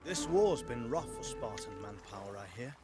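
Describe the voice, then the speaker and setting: An opportunistic mercenary from a video game played by a man, haggling for pay. His mid-pitched voice rises and falls in a very lively way over background music. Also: moderate pace, lightly acted. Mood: sly, bargaining. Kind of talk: dramatic video game acting.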